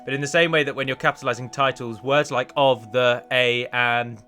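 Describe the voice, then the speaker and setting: A man with a bright, forward voice chats through a gaming let's play in a casual, self-deprecating way. His pitch sits in the middle range. He is close on the microphone, with music playing behind him. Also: steady tone, brisk. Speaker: gaming video host and mod developer.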